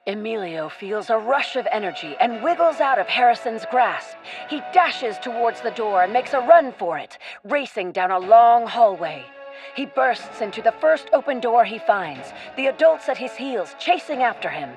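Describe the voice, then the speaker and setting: A woman's voice: on the high side, big pitch swings, normal speed, soft background music. A woman reading scripted, dramatic story narration as the narrator of a radio play.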